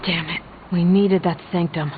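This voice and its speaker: Actress as blunt, low-voiced heroine. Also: female voice, steady tone, normal speed, narrow, tinny audio, a little hiss or hum, natural voice. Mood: worried, frustrated. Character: serious, pragmatic adult female game hero. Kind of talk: video game voice acting.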